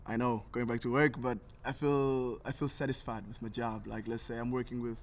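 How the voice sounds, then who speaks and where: A man's voice: medium pitch, level tone, a little fast. Reflective young man, noisy background. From Tanzania. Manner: reflective, earnest. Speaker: interview guest. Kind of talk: casual personal interview.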